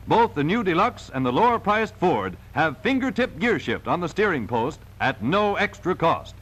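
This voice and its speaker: A man's voice: high voice, a bit bright. A man reading scripted narration for a 1940s sales film in an emphatic, polished salesman's delivery, on a vintage film soundtrack.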